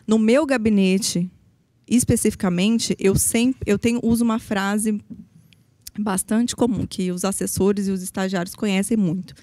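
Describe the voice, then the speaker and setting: A woman's voice: mid-range voice, fairly even intonation, normal speed, dark tone, no echo. A woman from Brazil's Paraná region, a public defender, explaining her work earnestly in a podcast interview, with frequent hesitations. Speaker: federal public defender, guest.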